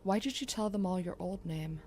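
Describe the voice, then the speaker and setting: A woman's voice: lowish voice, a little fast, a little mellow, slight background noise, lightly acted. A woman playing a protective, devoted young survivor in an audio drama, speaking bluntly and tenderly with intensity. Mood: pleading, intense. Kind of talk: amateur audio drama acting.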